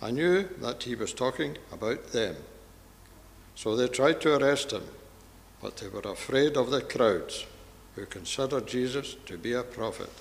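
A man's voice: mid-range voice, lively intonation, normal speed, creaky. A man reading a Bible passage aloud in a church service. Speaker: scripture reader at a church service. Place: large church interior. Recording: reverberant church hall.

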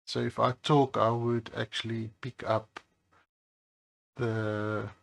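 A man with a low voice talking slowly and casually at his workbench, with many pauses and hesitations. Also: vocal fry, a little hiss or hum. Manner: slow, relaxed, with many hesitations. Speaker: phone repair channel host. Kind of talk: casual talk to camera while working. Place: workbench by a highway.

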